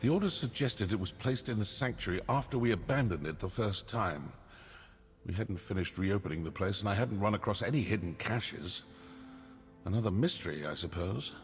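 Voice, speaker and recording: Sly assassin, low and swooping. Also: male voice, animated, moderate pace. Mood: vengeful, curious. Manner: wry, conversational, theatrical. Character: sly, wry male Dark Brotherhood assassin, menacing yet curious. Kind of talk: video game character dialogue. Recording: long reverberant hall, music behind.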